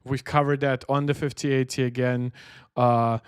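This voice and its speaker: A man's voice: medium pitch, flat delivery, moderate pace, clean tone, no echo, studio quality. A man hosting a tech livestream, chatting and giving opinionated reactions as he talks directly to his viewers. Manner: conversational, opinionated, talking to viewers. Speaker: tech channel host. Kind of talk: livestream chat and reaction commentary.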